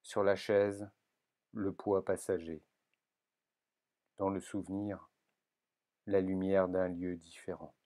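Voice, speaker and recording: A man reading poems aloud in a solemn, measured manner, leaving pauses between short phrases. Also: low voice, flat delivery, mellow. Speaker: poetry reader.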